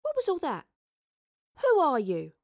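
A woman's voice: high voice, huge pitch swings, measured pace, no echo, studio quality, voice pushed up, heavily acted. A woman playing a cheeky, feisty young Tudor tavern girl in an audio drama, brash and colloquial. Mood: agitated, puzzled.

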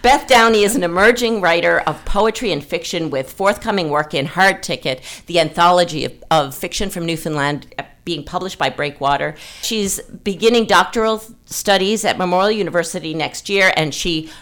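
A woman's voice: on the low side, normal speed, hardly any pauses, fairly bright tone. A woman hosting a conversational literary podcast, explaining ideas and asking leading questions. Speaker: podcast host.

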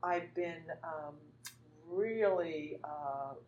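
A woman's voice: low-pitched, drawn-out words, muffled recording, slight background noise. A woman artist from Northern California talking about her work in a loose, rambling way, full of fillers and restarts. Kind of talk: conversational artist talk.

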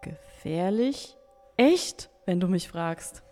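A woman acting a curious, playful and slightly sceptical young companion in a youth radio play, expressive and teasing. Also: on the low side, slow, soft background music. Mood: startled wonder. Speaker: actress in an educational audio drama.

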